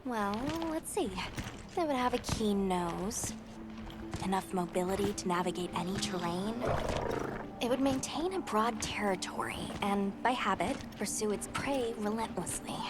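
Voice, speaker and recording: An actress playing a level-headed expedition scholar in a game cutscene, explaining things in a calm, analytical way. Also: female voice, mid-range voice, moderate pace, music in the background, natural voice. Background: orchestral game score.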